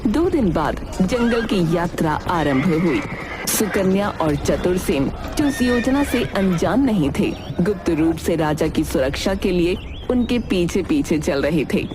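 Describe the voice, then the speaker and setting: Woman telling a dramatic story, really animated pitch, music under her. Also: measured pace. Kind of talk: scripted dramatic story narration.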